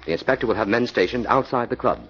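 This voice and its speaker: An actor playing a confident crime-fighting hero who gives brisk orders, in a 1940s radio drama with a lo-fi sound. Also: male voice, medium pitch, breathy edge, close to an everyday voice. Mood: commanding. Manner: brisk, commanding.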